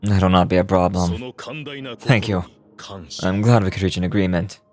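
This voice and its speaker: A man's voice: low voice, fairly even intonation, moderate pace, close to an everyday voice. An actor playing a resolute male leader in a fantasy game, speaking in a solemn, declamatory manner. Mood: composed. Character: resolute male hero-leader bargaining for his people. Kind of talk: fantasy video game voice acting. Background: music.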